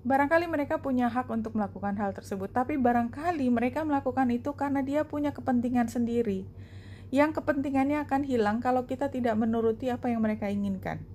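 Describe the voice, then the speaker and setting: A woman giving a spoken card reading to viewers, explaining reflectively in short phrases with pauses. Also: a little high, warm tone, a little hiss or hum. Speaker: tarot and oracle card reader.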